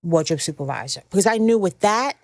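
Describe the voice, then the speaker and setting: A woman's voice: fairly low pitch, lively intonation, fast, crowd noise. A middle-aged woman chatting casually as a podcast guest, telling career stories with emphatic repetition. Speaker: podcast guest, fashion stylist.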